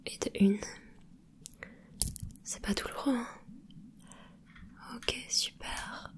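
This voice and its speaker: A woman voicing a gentle, caring mermaid in an ASMR roleplay, whispering softly and reassuringly with a curious, playful touch. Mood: soothing whisper. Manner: soft whispering, slow and soothing. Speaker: ASMR creator.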